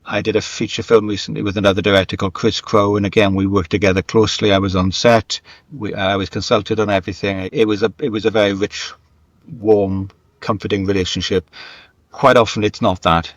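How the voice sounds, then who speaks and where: Low-voiced man, dull roomy recording. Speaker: guest, screenwriter and radio dramatist. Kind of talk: reflective interview conversation, career anecdotes.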